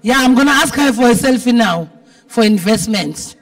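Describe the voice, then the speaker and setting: A woman's voice: fairly high pitch, animated, normal speed, far from the mic. South african lady mc at a live event, big voice, teasing and chatty, sounds a bit away from the mic. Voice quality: big, heavy-timbred voice. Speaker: event host. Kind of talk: informal live event hosting with joking banter. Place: event venue with a dinner audience.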